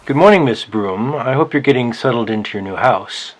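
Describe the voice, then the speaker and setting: A man's voice: mid-range voice, lively intonation, a little fast, natural voice, low-quality recording. Fussy, precise male head librarian, acted by a man. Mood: prying. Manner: precise, nosy, formal.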